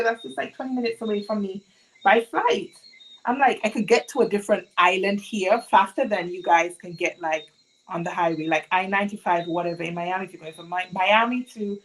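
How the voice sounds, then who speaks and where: A woman's voice: mid-range voice, low-quality recording. Chatty Montserratian woman live-streaming. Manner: chatty, asks the chat many questions. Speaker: sewing livestream host. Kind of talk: live-stream chat with viewers.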